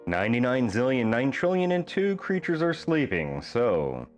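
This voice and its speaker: Man, children's storybook narration, lively intonation, theatrical, deliberate phrasing, faint music behind. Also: medium pitch. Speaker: storybook reader. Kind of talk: expressive reading of a rhyming children's bedtime story.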